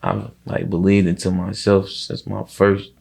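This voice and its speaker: A man talking casually as an interview guest, slangy and reflective, often halting and leaning on filler words. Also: low-pitched, little pitch movement, vocal fry, darkish tone, slightly rough.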